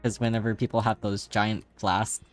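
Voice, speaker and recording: Man, streamer, slightly raspy, low voice, rambling casual chat. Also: little pitch movement, normal speed, faint music behind. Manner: rambling, many fillers, laughs often. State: voice tired from streaming.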